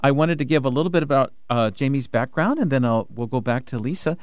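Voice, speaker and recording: Excited male radio host, dry sound. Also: medium pitch, no echo. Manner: excited, rushing, many restarts and fillers. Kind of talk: radio talk show hosting. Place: radio studio.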